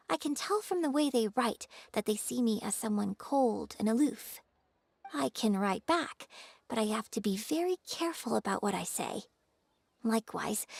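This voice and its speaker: A woman's voice: on the high side, gravelly, no echo. A woman playing a poised young stage performer in a video game, friendly and playfully dramatic, speaking in a composed, articulate, theatrical way. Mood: reflective, composed.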